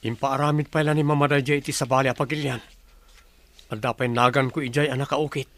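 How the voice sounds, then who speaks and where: A man's voice: medium pitch, little pitch movement, quick pace, a bit bright, lightly acted. An actor with an Ilocano accent playing a troubled, doubting businessman and boss in a radio drama. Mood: worried.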